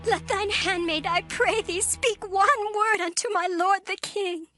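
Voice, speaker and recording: A woman's voice: extremely high pitch, unhurried, bright, forceful tone, faint music behind, full character voice. An actress playing a distressed widow beseeching a king in a theatrical dramatized scripture reading. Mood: earnest pleading. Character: pleading, distressed widow woman petitioning a king. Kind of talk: dramatized Bible audio acting.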